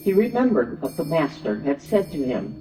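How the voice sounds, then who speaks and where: A man giving an expressive, dramatic narration of a Bible story. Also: a little high, normal speed. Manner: expressive, acts out quoted lines. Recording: distant, echoey church hall, dull sound, music.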